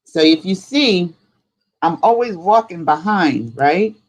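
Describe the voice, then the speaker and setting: Female livestream host, slow pace, casual and rambling delivery, informal chat with viewers. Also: lowish voice, expressive. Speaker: host of a live spirituality stream.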